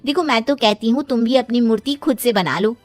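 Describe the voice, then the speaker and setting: A woman plays a principled young cartoon friend who explains things earnestly and persuasively. The voice is fairly high, over music. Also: fairly quick, close to an everyday voice.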